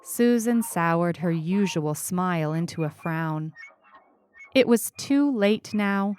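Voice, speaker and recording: A woman reading a scripted short story as narrator, deliberate and expressive. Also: lowish voice, smooth. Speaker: story reader. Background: music.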